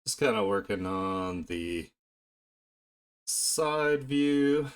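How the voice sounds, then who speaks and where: A man chatting casually on an art live stream, talking haltingly and half to himself as he works. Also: on the low side, animated, smooth. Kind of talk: casual live-stream chat while drawing.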